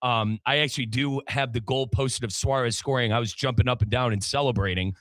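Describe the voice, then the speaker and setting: Bright, punchy male sports radio host. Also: mid-range voice, fairly even intonation, a little fast, no room sound. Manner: chatty, emphatic repetition, addresses listeners as folks. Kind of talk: sports talk show hosting with sponsor reads.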